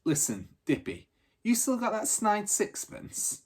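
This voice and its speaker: A man's voice: high voice, huge pitch swings, slow, darkish tone, voice pushed up, full character voice. A man voicing a passionate, hot-headed boy who leads a gang in a storybook, eager and emphatic with slangy talk. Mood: eager, businesslike.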